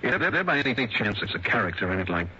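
A man's voice: on the low side, big pitch swings, fast talker, pushed and bright, slight background noise, full character voice. An actor playing a shrewd, wary private investigator in a 1960s radio drama, narrating his case in a clipped, world-weary way. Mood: guarded, polite. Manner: clipped, world-weary first-person telling. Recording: dull old radio broadcast, music behind.

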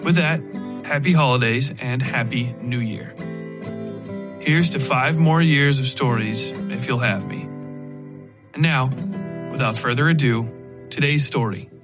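A man's voice: medium pitch, unhurried, long pauses, over music. A man hosting a fiction podcast and narrating horror stories in a scripted storytelling style.

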